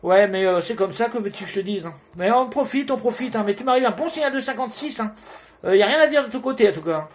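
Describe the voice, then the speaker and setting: Very high-pitched man on amateur radio. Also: animated, fast, a little hiss or hum. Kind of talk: casual radio contact chat.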